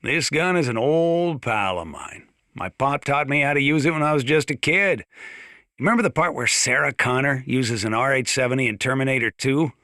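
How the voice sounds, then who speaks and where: A man's voice: medium pitch, normal speed, pushed and bright, no room sound, studio quality. A man playing a folksy, gun-loving small-town sheriff in a video game, chatting boastfully in a conversational radio-style monologue. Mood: folksy, proud.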